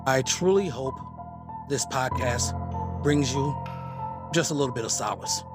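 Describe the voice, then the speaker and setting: A middle-aged man hosting a podcast, giving a scripted introduction in measured, separated phrases. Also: mid-range voice. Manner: measured, deliberate, pausing between phrases. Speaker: podcast host. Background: prominent music.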